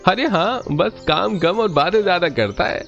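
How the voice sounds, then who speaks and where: एक आदमी जो एक खुशमिज़ाज, मेहनती जवान पति की आवाज़ ऊँची करके बोलता है।. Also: male voice, sits high, lively intonation, moderate pace, gravelly, a bit bright, dull audio, soft background music, voice pushed up, heavily acted. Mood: cheerful. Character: cheerful hardworking young husband.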